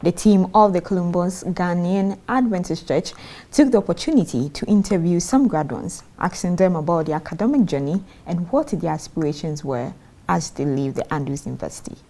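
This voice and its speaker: A woman reading a news report in a formal, measured way. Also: on the low side, mellow. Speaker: news anchor.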